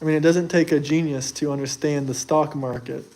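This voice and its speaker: Young man, from the US, higher-pitched, boastful, defensive, casual stream talk. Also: fairly even intonation, fairly quick, darkish tone, rough recording. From United States. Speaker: streamer answering chat. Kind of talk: casual live chat.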